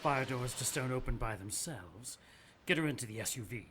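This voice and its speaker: An actor playing a zealous, self-righteous male cleric in a radio drama, calm and condescending. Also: medium pitch, normal speed, slight background noise, close to an everyday voice. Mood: cold.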